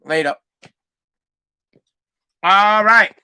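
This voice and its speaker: Loud, brash Boston man ranting. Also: sits high, drawn-out words, smooth. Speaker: podcast host. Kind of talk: solo podcast rant.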